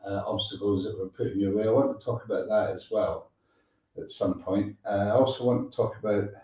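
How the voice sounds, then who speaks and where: A man's voice: lowish voice, steady tone, brisk, dark tone. A man hosting a casual live interview chat in an easygoing, rambling way. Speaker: show host. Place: indoors at a musician's home. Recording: roomy, distant mic, dull sound.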